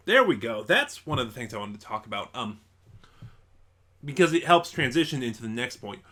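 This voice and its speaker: A man's voice: mid-range voice, fairly bright tone. A man giving a casual, unscripted review as an online reviewer, rambling and thinking aloud in a stop-and-start way.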